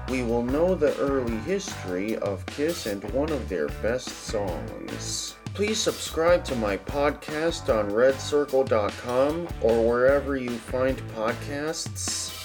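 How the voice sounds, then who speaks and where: A man's voice: medium pitch, sing-song, slow pace, hardly any pauses. Male podcast host with music behind him. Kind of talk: podcast intro and prepared interview questions.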